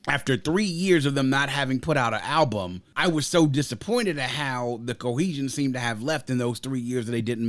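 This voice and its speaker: A man's voice: medium pitch, fairly bright tone, no room sound. A man hosting a music podcast, giving an emphatic, conversational review and often checking in with the listener.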